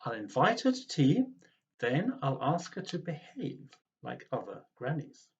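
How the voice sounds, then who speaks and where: A man's voice: fairly high pitch, huge pitch swings, unhurried, darkish tone, dull audio, full character voice. A man voicing an anxious, easily embarrassed young grandson in a children's storybook. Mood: resolved.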